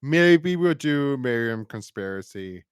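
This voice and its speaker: Animated male podcast co-host, dry close sound. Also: mid-range voice, slow pace, clear, resonant tone, no room sound. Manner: enthusiastic, rambling. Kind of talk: casual review chat.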